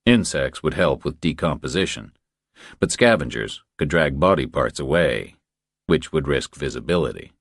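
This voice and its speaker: A man's voice: a bass voice, lively intonation, normal speed, dry recording, clean studio sound. A man reading a thriller audiobook as scripted narration.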